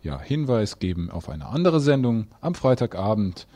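A man presents on the radio without a script. His voice sits fairly low and has a dark, relaxed tone, recorded very dry and close. He feels his way through sentences with some hesitation. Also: moderate pace, no echo. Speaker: radio host.

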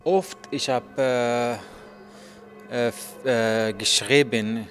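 A man, telling his story as a journalist in halting speech full of fillers and restarts. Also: medium pitch, steady tone, a bit bright, soft background music. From Afghanistan. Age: 30s. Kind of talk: hesitant interview answers in learner German.

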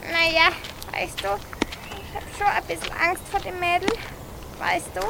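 A lonely old grandmother played by a woman in a youth radio play, with a very high voice. She chatters wistfully and clings to the people she dotes on. The recording is clearly noisy, with clicks. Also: little pitch movement, unhurried, exaggerated voice. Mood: sorrowful, worried. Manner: wistful, chatty, clinging, addresses others as my child. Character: lonely, doting, fretful old granny dreaming of America.

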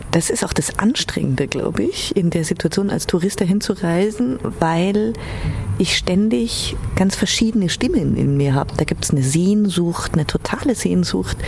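Reflective woman, noisy recording with rumble. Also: a little mellow. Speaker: interviewee. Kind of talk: reflective personal interview account. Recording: clearly noisy with low rumble.

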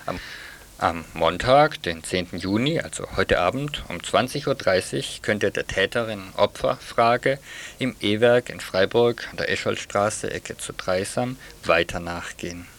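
A man's voice: fairly low pitch, a little slow, fairly bright tone. A man reading a scripted radio theatre review in a wry, opinionated manner.

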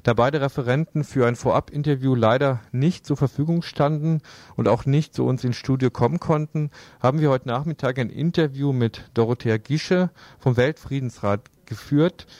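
A man hosts a radio news programme in a dry, close studio sound. He presents scripted items and asks interview questions in a medium-pitched voice. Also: moderate pace, darkish tone, no room sound.

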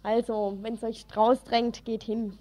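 A woman's voice: a little high, little pitch movement, takes it slowly, poor audio. A woman presenting event tips on local radio in an informal, colloquial manner.

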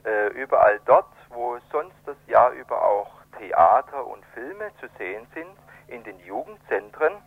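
A man being interviewed, explaining things in a halting way and searching for words, over a telephone. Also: medium pitch, expressive, vocal fry, slightly rough, no echo. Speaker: event organiser, interviewed guest. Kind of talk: spontaneous radio interview by phone. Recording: narrow tinny telephone line.

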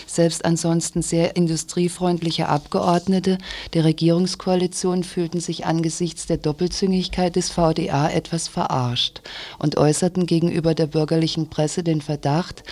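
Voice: medium pitch, a little mellow. A radio presenter reading a scripted news commentary with pointed, critical wording.

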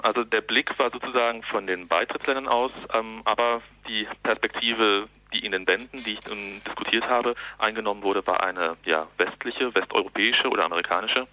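A man's voice: lowish voice, pushed and bright, dry recording, low-quality recording. A man answering interview questions as an academic researcher, analytical, often breaking off to rephrase. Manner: academic, halting mid-sentence to rephrase.

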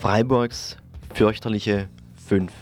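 A man's voice: fairly low pitch, fairly even intonation, slow pace, music in the background. A man announcing scripted news headlines as a radio station announcer.

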